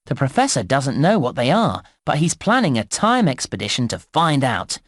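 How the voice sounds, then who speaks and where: A man's voice: mid-range voice, wildly swooping pitch, moderate pace, dry recording, studio quality. A man voicing a cheerful, encouraging theme park guide, narrating a children's game in a scripted, presenter-like delivery. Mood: upbeat, encouraging.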